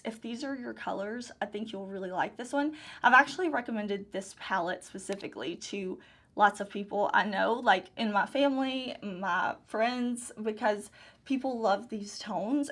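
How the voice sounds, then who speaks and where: A woman casually talking through her makeup collection, gushing and enthusiastic, repeating her favorite phrases. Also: medium pitch. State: hoarse, recovering lost voice. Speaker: beauty YouTuber. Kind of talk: casual product overview.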